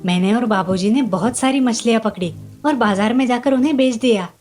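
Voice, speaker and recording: A woman voicing a sweet, polite little village boy with an earnest, childlike manner in an animated fairy tale. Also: fairly high pitch, brisk, faint music behind, lightly acted. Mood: cheerful, polite.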